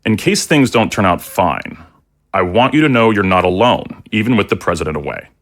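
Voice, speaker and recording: An actor playing a grave, guarded older general in an audio drama, speaking in a formal, measured, confiding way. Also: male voice, lowish voice, animated, a bit bright. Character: grave, guarded older male military general, quietly loyal.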